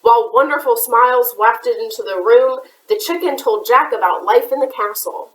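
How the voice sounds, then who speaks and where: A woman's voice: fairly high pitch, moderate pace, a bit bright. A woman children's librarian reading a picture book aloud to kids, with chatty asides. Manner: chatty asides to young listeners. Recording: roomy echoey room.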